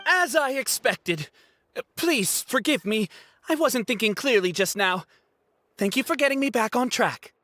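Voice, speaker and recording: A woman's voice: on the high side, animated, unhurried, a bit bright, no echo. A woman voicing a proud, flustered young scholar. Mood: apologetic. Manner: flustered, stammering. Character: proud young male top student, touchy and eager.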